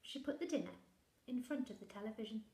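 A young woman reads a picture book to small children, gently and expressively. Her voice is fairly high and very animated, at an unhurried pace. The room adds noticeable echo. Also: creaky. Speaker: primary school teacher. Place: living room indoors. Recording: roomy, echoey home recording.

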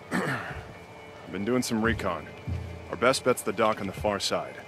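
A protective, by-the-book American policeman in a video game. His everyday voice sits low, and music runs underneath. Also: lively intonation, slow. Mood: resolute. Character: principled, protective adult male cop and brother. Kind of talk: video game cutscene acting.

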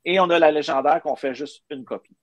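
A man's voice: a little high, brisk. A middle-aged man with a Quebec French accent, explaining things informally as a guest in a live video-call interview. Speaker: guest, maker of digital book bookmarks. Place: indoor room, on a video call. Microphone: webcam video call.

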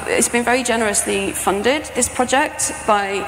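British woman, middle-aged, chatty presenter with some creak in her voice, reverberant hall with faint noise. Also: a little high, moderate pace. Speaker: composer and sound art professor presenting. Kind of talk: informal conference talk. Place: stage in a large presentation tent or hall. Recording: echoey hall with background noise.

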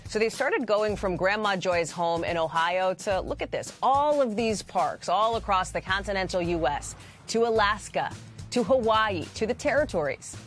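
A woman's voice: medium pitch, animated, moderate pace. American news anchor woman over music. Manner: conversational, emphatic. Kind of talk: lively scripted TV narration. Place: TV studio.

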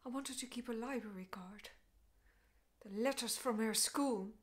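A woman performing a stage monologue as a grieving, bitter mother confronting her ex-husband, speaking in deliberate, emotionally intense phrases. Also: on the high side, lightly acted. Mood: wounded, restrained.

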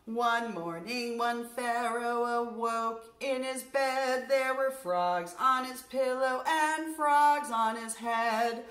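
A middle-aged woman, a nursery school teacher, reading a story aloud to young children in an expressive, deliberate way. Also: a little high, soft background music. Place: furnished room at home, indoors. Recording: roomy indoor echo.

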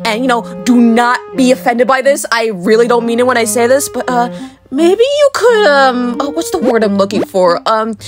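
Young hero for a fan-dubbed cartoon woman performer: high, snarky, mock-polite, lively swings. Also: moderate pace, music in the background, close to an everyday voice. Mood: passive-aggressive.

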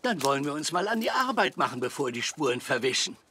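Lively, kindly old cartoon grandfather. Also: a little high, quick pace, a little hiss or hum. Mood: thoughtful. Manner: gentle, musing, encouraging. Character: kindly, playful old grandfather zebra, patient and encouraging. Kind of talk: children's cartoon dubbing. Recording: clear music bed behind.

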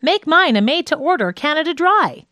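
A woman voicing a hurried female radio singer from a comedy script in an audiobook. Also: high-pitched, sing-song, pushed and bright, no room sound, studio quality, pitched above the performer's own voice, heavily acted. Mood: hurried, chirpy.

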